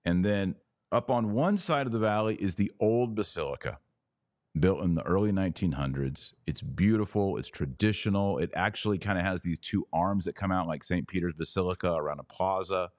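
A man's voice: deep voice, fairly quick, darkish tone, no echo, studio quality. A man hosting a podcast in casual, conversational commentary with a blunt, opinionated way of talking. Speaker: podcast host.

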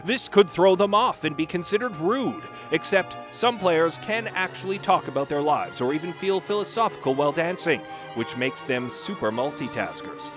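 A man gives a mock-serious, theatrical dramatic reading of how-to tips in a deliberate way. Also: on the high side, lively intonation. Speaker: reader of a comic dramatic reading. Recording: music playing behind the voice.

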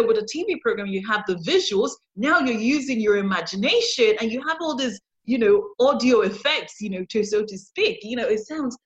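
A woman hosting a casual interview podcast in a chatty, effusive way, on a somewhat lo-fi recording. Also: mid-range voice. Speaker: podcast host. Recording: dull, lo-fi sound.

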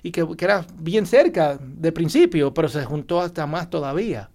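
A man's voice: a little high, big pitch swings, normal speed, a little mellow. A man from Puerto Rico telling a group about a trip. He talks enthusiastically, repeats himself and searches for words. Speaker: guest sharing travel experience. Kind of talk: casual group conversation.

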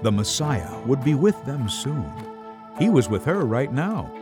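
A man's voice: mid-range voice, sing-song, unhurried, music in the background. A man narrating a scripted Bible story in a dramatic storytelling style.

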